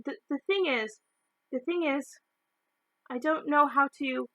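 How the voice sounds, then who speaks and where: A shy young storybook boy, played by a woman reader. He sounds nervous and halting, in a high, bright voice with a dry sound. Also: no echo, dull audio. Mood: nervous, hesitant. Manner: hesitant, halting.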